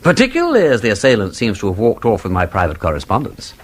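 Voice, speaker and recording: An actor playing a witty, talkative aristocratic sleuth in a radio drama, with an upper-class English accent and a playfully pedantic, theatrical manner. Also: male voice, on the low side, wildly swooping pitch, quick pace. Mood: wry. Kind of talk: British radio drama acting.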